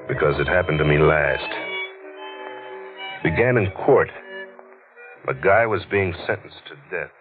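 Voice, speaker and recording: A man's voice: very deep voice, expressive, unhurried, long pauses. An actor playing a world-weary, hard-boiled crime newspaperman in a 1940s radio drama, terse and weary in delivery. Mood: weary, brooding. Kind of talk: 1940s radio noir acting and narration. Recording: muffled vintage radio, music behind.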